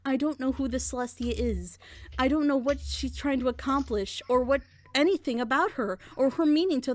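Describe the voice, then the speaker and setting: Woman, higher voice, dry close sound, analytical, critical, casual reaction chat. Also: dry recording. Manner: critical, analytical, builds argument with rhetorical questions. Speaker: reaction show member. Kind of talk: animated group reaction chat.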